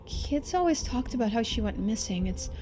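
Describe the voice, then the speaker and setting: A woman's voice: fairly high pitch, lively intonation, normal speed, music in the background, natural voice. A woman voicing a shaken young woman in a horror game, anxious and hesitant. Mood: troubled, pleading. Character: shaken young woman exploring a creepy house with camera.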